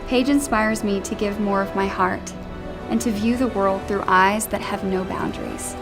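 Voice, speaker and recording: Woman reading a scripted tribute, medium pitch, music playing behind. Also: very animated, moderate pace. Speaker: reader of nomination stories. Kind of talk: scripted narration read aloud. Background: background music.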